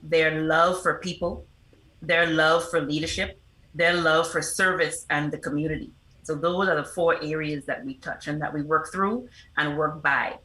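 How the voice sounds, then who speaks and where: A woman's voice: low-pitched, steady tone, moderate pace, sharp tone. A middle-aged woman, giving earnest, explanatory answers as an interview guest. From the Bahamas. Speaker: interview guest, foundation representative and motivational speaker. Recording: roomy, echoing sound.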